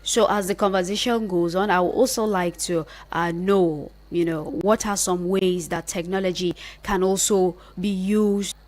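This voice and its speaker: A woman's voice: lowish voice, fairly even intonation, measured pace, hardly any pauses. A woman with a Nigerian accent hosting a live radio phone-in interview from a studio. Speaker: radio host.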